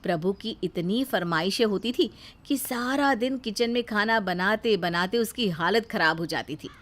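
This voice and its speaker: A woman's voice: medium pitch, normal speed, fairly bright tone. A woman reading a scripted family story as its narrator, in a storytelling style.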